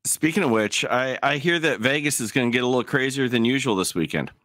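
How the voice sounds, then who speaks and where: A man's voice: mid-range voice, expressive, fast, a bit bright. A man hosting a livestream with casual, joking commentary, often repeating words as he goes. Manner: joking, stammering repetitions. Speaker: livestream host. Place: video call.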